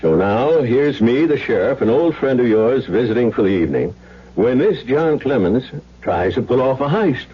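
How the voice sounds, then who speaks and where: An actor playing a measured, folksy small-town sheriff and old family friend in a 1970s radio drama. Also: male voice, medium pitch, expressive, husky. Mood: measured, reasoning. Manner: folksy, deliberate, reasoning aloud. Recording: dull lo-fi old radio broadcast.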